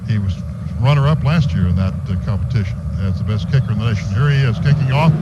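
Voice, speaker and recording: A man's voice: on the low side, lively intonation, fast talker. An American man calling live football play-by-play on the radio, stretching words as plays develop. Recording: dull radio broadcast, clearly noisy.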